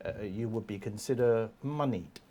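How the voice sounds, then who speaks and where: A man around seventy, from Hong Kong, explaining history in a measured way with many fillers in a TV studio interview. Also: lowish voice, mellow, muffled recording. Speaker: guest commentator. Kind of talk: casual interview talk.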